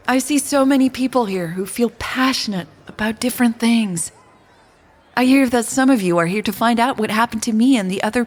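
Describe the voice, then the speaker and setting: A woman playing a gentle, earnest former coma patient making a measured, pleading speech in an audio drama. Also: fairly high pitch, close to an everyday voice. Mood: humble, earnest. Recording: echoey reverberant hall, music underneath.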